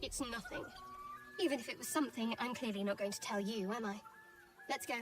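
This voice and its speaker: An actress playing a secretive young adventurer in a video game, with a dry, measured, cagey manner. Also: female voice, on the high side, far from the mic, over music, close to an everyday voice. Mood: evasive, curt. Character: guarded, wry, secretive young woman adventurer. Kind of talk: video game character acting.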